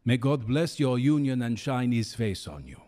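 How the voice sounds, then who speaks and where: Man acting kindly clergyman, mellow tone, animated intonation, solemn, ceremonial, radio drama. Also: mid-range voice, normal speed, lightly acted. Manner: ceremonial, benevolent. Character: kindly, solemn male reverend.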